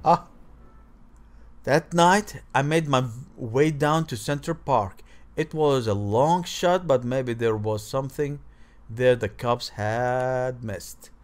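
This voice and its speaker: A man's voice: mid-range voice, slow. A man hosting a gaming video reads visual novel text aloud with theatrical emphasis, stumbling now and then and dropping in offhand comments. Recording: music behind the voice. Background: game music.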